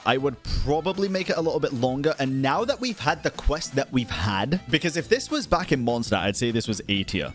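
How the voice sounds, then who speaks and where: A young man doing casual ranking commentary in a slangy, blunt, emphatic way. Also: mid-range voice. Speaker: gaming content creator and streamer.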